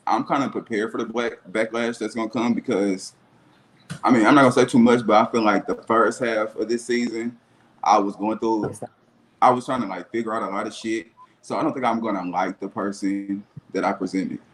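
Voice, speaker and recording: A young man with a fairly low voice talks casually and candidly as an interview guest. He sounds sure of himself, with faint noise on the call. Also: fairly even intonation, normal speed. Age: 20s. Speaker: web-series cast member being interviewed. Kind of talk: casual chat.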